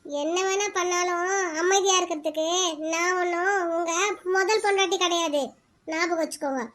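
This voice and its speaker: A woman voicing a sharp-tongued, defiant stepmother in a cartoon. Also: steady tone, moderate pace. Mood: snapping. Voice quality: very high-pitched, shrill. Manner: snapping and argumentative. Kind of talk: cartoon voice acting.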